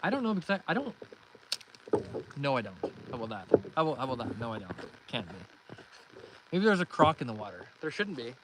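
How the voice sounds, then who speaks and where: A young man from Northern Ontario with a Canadian accent, about nineteen, talks in an easygoing, friendly way as he reads out viewer comments on a live stream. His voice sits at a middle pitch for a man. The outdoor recording has clear background noise from the water around him. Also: quick pace, long pauses. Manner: relaxed, friendly, chatty. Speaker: live-streaming fishing host. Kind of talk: casual live-stream chat, reading comments aloud. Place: outdoors on a lake in a canoe. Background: water and boat noises.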